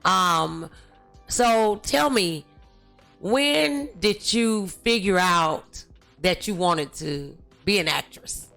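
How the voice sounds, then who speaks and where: Middle-aged woman, chatty talk show host, unhurried and bright with some gravel, faint music behind. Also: fairly low pitch, lively intonation. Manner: chatty, familiar. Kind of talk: casual interview.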